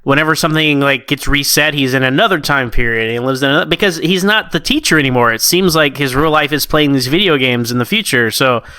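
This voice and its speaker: A man's voice: a little high, fast, hardly any pauses, sharp tone, clear, resonant tone, no echo. A man co-hosting a podcast in casual chat, informal and given to acting out little quotes as he reviews stories. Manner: informal, peppers talk with "like" and mimicked quotes. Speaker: podcast co-host.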